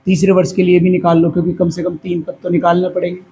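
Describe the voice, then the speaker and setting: A man's voice: sits high, completely flat delivery, quick pace, gravelly, warm tone. A male maths teacher, teaching a class lesson at a whiteboard. He is patient and keeps asking questions, then answers them himself. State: hoarse. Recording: faint background noise.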